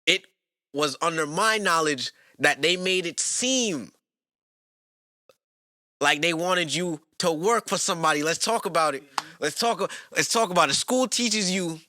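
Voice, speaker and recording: Podcast co-host, male, from New York: animated pitch, sharp bright tone, slang-heavy hype. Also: measured pace, dry recording, clean studio sound. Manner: lively, repetitive hype phrases, slangy. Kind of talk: casual podcast chat.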